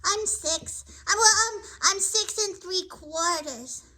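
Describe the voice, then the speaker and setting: A sweet six-year-old puppet in a live children's show. The voice is tiny, very high and piercingly bright, with an unhurried pace. It sounds childlike, curious and earnest. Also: female voice, exaggerated voice.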